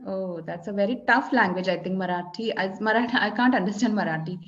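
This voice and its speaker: A woman from Kerala with an Indian English accent, whose first language is Malayalam, chatting and tutoring slowly and encouragingly on a video call. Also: mid-range voice, fairly bright tone, highs cut off. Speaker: English conversation teacher. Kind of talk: casual tutoring chat.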